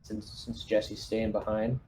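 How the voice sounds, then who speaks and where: Mellow man giving tabletop squad orders. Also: lowish voice, flat delivery, a little slow, slight background noise.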